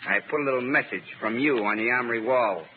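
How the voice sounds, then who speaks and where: An actor playing a rough, jumpy, talkative American henchman in 1930s radio drama style. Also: medium pitch, quick pace, lightly acted. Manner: slangy, questioning, edgy. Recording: faintly noisy, narrow-band 1930s radio.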